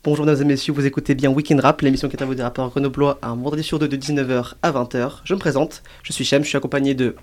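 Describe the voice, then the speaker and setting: A man's voice: medium pitch, level tone, very fast, few pauses, darkish tone. A young man presenting a rap radio show in a chatty, playful, teasing way. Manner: playful, teasing banter with guest and co-hosts.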